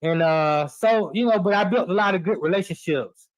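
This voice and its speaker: A middle-aged man talks sports in a loose, encouraging way, full of restarts and "you know." His voice sits a touch high for a man. The sound is dry and close, with no room around it. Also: moderate pace, dry recording. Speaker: podcast guest and host. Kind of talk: casual sports talk. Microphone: remote live stream.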